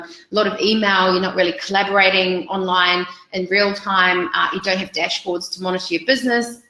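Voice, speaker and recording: A woman's voice: lowish voice, pushed and bright, echoey room, poor audio. A woman in her forties with a New Zealand accent, presenting a business webinar over slides in an upbeat, chatty way with frequent ums.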